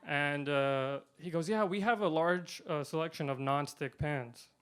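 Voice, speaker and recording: A man doing a stand-up comedy set, delivering punchlines deadpan and swinging between loud and quiet. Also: on the high side, unhurried, clear, resonant tone. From India.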